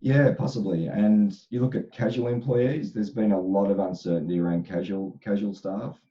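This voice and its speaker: Deep, dark-voiced man with a crackly throat. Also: fairly even intonation, moderate pace, lo-fi sound. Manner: thoughtful, repetitive restarts. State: slightly crackly voice. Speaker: HR expert panelist. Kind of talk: casual panel discussion.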